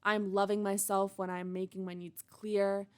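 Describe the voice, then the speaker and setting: A woman reading a personal, reflective monologue in an earnest, measured, introspective way. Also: medium pitch, little pitch movement, a little mellow, clear, resonant tone. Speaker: monologue writer and reader.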